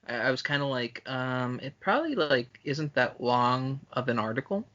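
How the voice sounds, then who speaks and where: A man with a bright, punchy voice chats as a podcast co-host. He is casual, emphatic and happy to swear. The recording is a little dull and lo-fi. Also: medium pitch, animated, normal speed. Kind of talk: casual chat about films.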